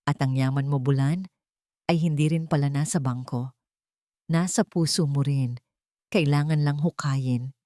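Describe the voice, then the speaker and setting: A hardworking single mother from a market stall , voiced by a woman narrator, proud and sincere. She speaks firmly in a dry storybook recording with a low female voice. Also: a little slow, pauses often, dark tone, dry recording. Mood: tender, accepting.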